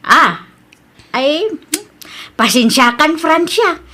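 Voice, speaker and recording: A woman's voice: high voice, animated, very slow, sharp tone, heavily acted. A woman playing a wary, evasive village mother in a comedy drama, apologetic and hesitant, with an Ilocano accent. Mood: awkward, evasive.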